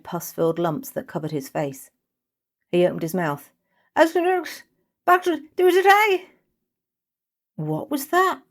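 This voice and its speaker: Woman, low pitch, storybook narration, scripted reading. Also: warm tone. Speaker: author reading own short story.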